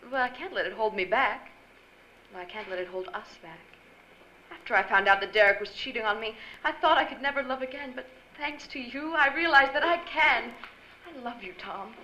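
An actress playing a sultry, seductive married femme fatale in a 1940s-style radio drama, coy and melodramatic. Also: female voice, a little high, moderate pace, pushed and bright. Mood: pleading, romantic. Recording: dull, faintly noisy stage recording.

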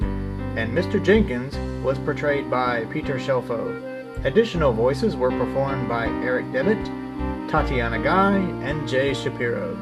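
A man announcing the closing credits of a radio drama in a scripted style. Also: medium pitch. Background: plucked guitar music.

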